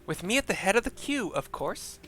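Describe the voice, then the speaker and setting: A man voicing an earnest, warm-hearted young woman from a fantasy game, with a heartfelt lilt. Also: high voice, very animated, fairly quick, pitched above the performer's own voice. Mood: hopeful, determined. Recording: music behind the voice.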